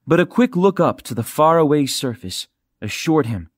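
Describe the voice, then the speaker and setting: A man reading an audiobook as a narrator, with dramatic, expressive and suspenseful delivery, close to a studio microphone. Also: fairly high pitch, moderate pace, no echo. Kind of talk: dramatic scripted fiction narration.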